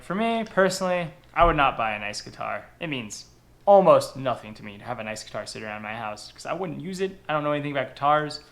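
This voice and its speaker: A young man hosting a casual how-to vlog from his kitchen table, chatty and repeating his words as he goes. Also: fairly high pitch. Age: young adult. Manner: chatty, repeats words and phrases, lively delivery. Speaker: channel host. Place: kitchen table indoors, home. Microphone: camera across the table.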